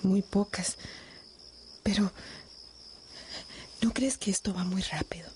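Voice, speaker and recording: An actress playing a hesitant woman falling in love, soft and breathy, in a romantic radio drama. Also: mid-range voice, slow, a little hiss or hum, close to an everyday voice. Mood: reluctant.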